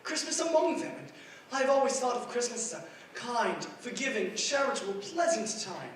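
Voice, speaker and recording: An actor playing a good-humored, warm-hearted nephew, earnest and pleading, in a 1940s radio drama style. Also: male voice, very high-pitched, lively intonation, normal speed. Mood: earnest, heartfelt. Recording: very echoey stage, distant mic, faint noise.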